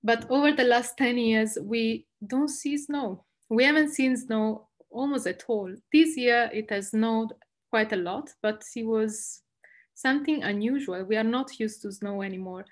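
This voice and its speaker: A woman's voice: a little high, a little slow. A young woman from northern Italy with an Italian accent, explaining as a guest speaker on an online panel. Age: young adult. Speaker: guest speaker, environmental law specialist. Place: indoors, room at home. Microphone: video call, laptop distance.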